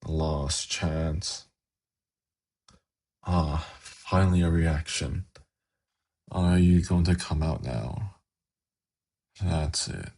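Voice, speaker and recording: A man playing a suave, haughty demon prince in an ASMR fantasy roleplay, speaking in a measured, formal, silkily condescending way with a gravelly, husky voice. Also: very deep voice, darkish tone, heavily acted. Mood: soft, coaxing.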